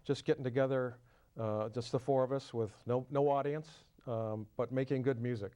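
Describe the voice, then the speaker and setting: A man's voice: medium pitch, fairly even intonation, normal speed. Hesitant man full of ums. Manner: hesitant, many ums and uhs, warm-hearted. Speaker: barbershop quartet singer. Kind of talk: casual interview answers.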